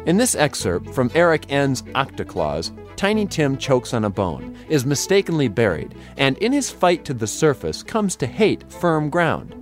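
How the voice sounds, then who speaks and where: A man reads scripted narration as a radio host. His mid-pitched voice moves widely up and down, with light music behind. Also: huge pitch swings, normal speed, hardly any pauses.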